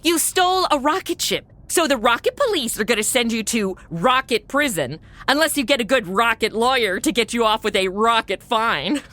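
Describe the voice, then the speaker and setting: A woman playing a sarcastic modern young woman lost in the future, wisecracking and quick to mock. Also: on the high side, animated, sharp tone. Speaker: series lead.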